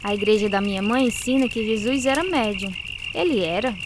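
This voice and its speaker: A woman's voice: on the high side, a little fast, background noise, natural voice. A woman playing a woman recounting her youth in scripted radio drama narration. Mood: hesitant. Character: woman recounting her troubled youth, also as earnest teenager.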